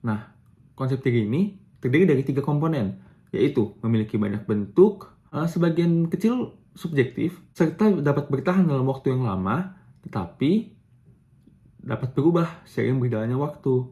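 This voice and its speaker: A young man giving a casual explanatory lesson, pausing with fillers and correcting himself as he goes. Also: mid-range voice, animated, dark tone. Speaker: student presenter.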